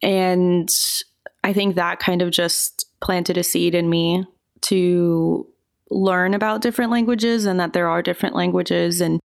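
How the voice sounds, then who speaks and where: A woman giving relaxed, thoughtful answers as an interview guest, often hedging her points. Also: fairly low pitch, unhurried, gravelly.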